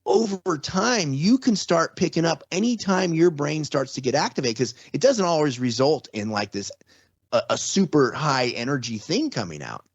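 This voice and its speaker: A man's voice: fairly high pitch, sing-song, moderate pace, breathy edge, no echo. A middle-aged man talking casually as a podcast guest, rambling and restarting his sentences often. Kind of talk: casual conversation. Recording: slightly dull, dry sound.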